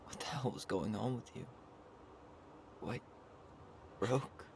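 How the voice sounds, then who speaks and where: A man's voice: mid-range voice, slow pace. A man playing a sincere, hesitant young twin brother, acted naturally for a video game. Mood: upset. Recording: noisy game capture with hall reverb.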